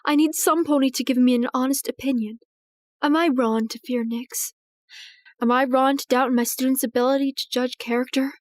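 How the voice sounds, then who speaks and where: High-voiced regal pony princess played by a woman. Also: fairly even intonation, no echo, close to an everyday voice. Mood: anxious, pleading. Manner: formal, earnest, measured speech. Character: anxious regal pony princess, protective big sister. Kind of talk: dramatized audio fiction reading.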